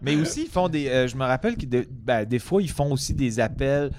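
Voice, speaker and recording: A man with a Quebec French accent chats in a casual, joking register at an average male pitch. There is noticeable background noise behind his voice, with some clicking. He speaks as a guest in a loose group conversation. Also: lively intonation, fairly quick, a little mellow. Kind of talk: casual humorous chat.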